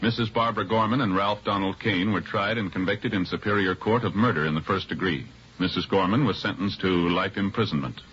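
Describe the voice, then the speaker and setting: Low official-sounding American announcer. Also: male voice. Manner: official, measured, impersonal. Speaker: radio announcer. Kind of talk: formal 1950s scripted radio narration. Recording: muffled vintage radio broadcast with music.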